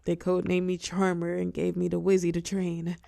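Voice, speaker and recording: A fallen heroine turned telepath villain, acted by a woman, speaks close and dry in a lowish, crackling voice. She is weary and wry, tender with an edge of menace, pausing for effect as in an intimate audio drama. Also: completely flat delivery, creaky, mellow, no room sound. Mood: reluctant, resigned. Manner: soothing pet names, wry bitterness, dramatic pauses, drops into whispers. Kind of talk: intimate scripted audio roleplay monologue.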